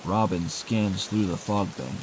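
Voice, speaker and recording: A man's voice: low-pitched, measured pace, background noise. A man narrating an adventure audio drama in a scripted, dramatic storytelling style. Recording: rain and music under the voice. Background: music and rain.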